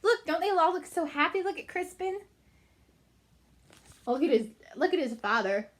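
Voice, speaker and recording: A woman reading a story aloud to children, emphatic and animated, with chatty asides. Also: very high voice, moderate pace. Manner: animated, emphatic, addressing young listeners.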